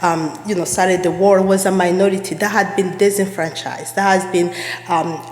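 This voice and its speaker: A woman's voice: fairly low pitch, fairly even intonation, moderate pace, darkish tone, faint music behind. A woman from Rwanda giving an earnest sermon as a guest speaker, repeating phrases for emphasis. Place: church sanctuary. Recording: echoey church hall.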